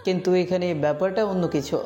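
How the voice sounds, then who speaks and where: A woman's voice: lowish voice, fairly even intonation, fast talker, warm tone, lo-fi sound, natural voice. A woman playing a loyal, wary male gangster aide, respectful but questioning, in an audio story. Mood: wary, dutiful. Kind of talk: amateur Bengali audio-story acting.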